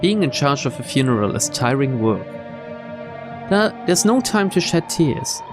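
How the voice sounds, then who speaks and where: A man's voice: medium pitch, big pitch swings, unhurried. A man doing a game playthrough, reading visual novel narration aloud and adding his own asides. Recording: game music behind the voice.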